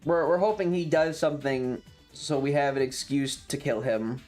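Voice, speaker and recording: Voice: mid-range voice, normal speed, darkish tone. A streamer telling a tabletop game story casually and animatedly, with frequent swearing. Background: background music.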